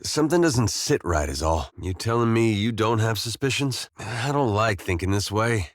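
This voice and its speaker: Guy voicing a gentle farmer-soldier for a game, folksy american drawl, lowish voice, dry close sound. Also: animated, moderate pace, dry recording, close to an everyday voice. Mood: troubled, earnest. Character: gentle, weary young-middle-aged farmer turned soldier. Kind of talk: video game character dialogue.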